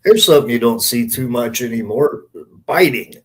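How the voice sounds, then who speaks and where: A man in casual podcast chat, explaining things in a halting way, repeating and rephrasing as he comments on a match. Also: mid-range voice, lively intonation. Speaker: podcast co-host, former wrestler.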